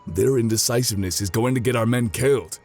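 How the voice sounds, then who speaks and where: Man playing a low-voiced, terse war veteran. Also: animated, moderate pace, mellow, husky, soft background music. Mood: grim, pressing. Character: terse, brooding male veteran soldier. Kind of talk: fantasy audio drama acting.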